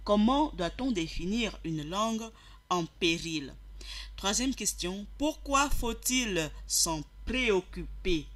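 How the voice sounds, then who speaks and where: A man's voice: sits high, animated, takes it slowly, fairly bright tone. A man presenting a radio show, teaching listeners directly and repeating key phrases for emphasis. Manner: didactic, addresses listeners directly. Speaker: radio host.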